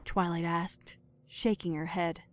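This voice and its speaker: A woman's voice: on the low side, fairly even intonation, slow pace, dry recording. A woman reading scripted story narration in a dramatic audio fiction style. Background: music.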